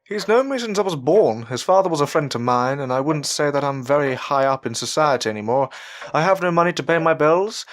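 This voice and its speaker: A man playing an earnest, anxious upper-class gentleman learning magic in an audio drama, speaking politely and formally with a hesitant stammer. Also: a little high, lively intonation, brisk, natural voice. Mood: embarrassed, proud. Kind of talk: audio drama acting with narrated reflections. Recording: voice over prominent background music.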